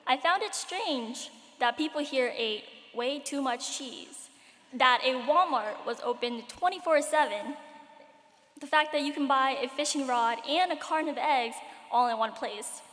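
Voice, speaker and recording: A teenage girl who grew up partly in Cambodia gives her commencement speech with dry, self-deprecating humor. Her voice sits high. The speech rings through a big hall with a long reverb tail. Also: a little slow, a bit bright. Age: late teens. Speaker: graduating student speaker. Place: large hall at a graduation ceremony.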